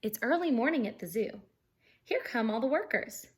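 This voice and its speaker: A woman's voice: a little high, expressive, moderate pace. A woman teacher reading a children's picture book aloud expressively, explaining things simply and putting questions to her young listeners.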